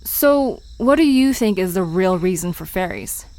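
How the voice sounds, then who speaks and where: A woman's voice: medium pitch, lively intonation, normal speed, a little mellow, natural voice. An actress playing a curious, playful young woman in an audio drama, inquisitive, teasing and a little skeptical. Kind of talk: conversational audio drama acting. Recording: music and crickets behind voice.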